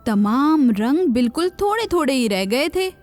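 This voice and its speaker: Lady telling a kids fairy tale, highish voice, expressive narration, soft music behind. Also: dry recording. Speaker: children's story narrator. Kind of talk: scripted storybook narration.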